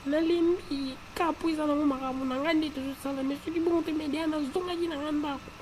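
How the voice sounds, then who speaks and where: A woman's voice: high voice, fairly even intonation, brisk, darkish tone, lightly acted. A woman voicing a weary, impatient cartoon character who complains repetitively, recorded with background noise. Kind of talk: casual cartoon dialogue in Lingala. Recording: clear background noise behind the voice.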